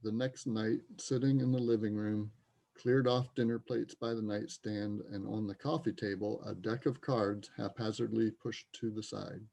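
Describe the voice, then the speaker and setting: A man reads scripted stage directions as the narrator of a play. His voice is creaky and mellow, sitting at a medium pitch. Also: flat delivery, moderate pace.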